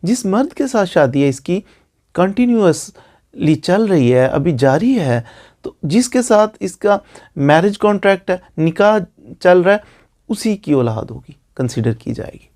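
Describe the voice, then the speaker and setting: A man's voice: sits high, big pitch swings, a little slow, darkish tone. A man with a Pakistani accent giving an informal legal explainer as a lawyer, repeating phrases for emphasis. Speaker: lawyer explaining law online.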